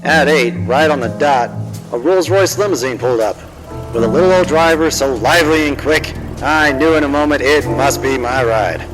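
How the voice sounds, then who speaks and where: A man's voice: medium pitch, moderate pace, lightly acted, rough recording. Man playing a wisecracking noir private eye over music. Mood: hard-boiled narrating. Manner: smooth-talking, wry, swaggering. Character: cocky, wisecracking 1940s hard-boiled male private detective. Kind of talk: noir radio drama narration and dialogue.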